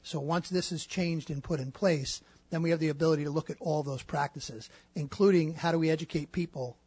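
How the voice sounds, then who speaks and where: A man with a slightly husky, somewhat high voice speaks as a school district official. He answers questions at a public meeting in a measured way, with frequent fillers. The recording is dry, with no room echo. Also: fairly even intonation, darkish tone.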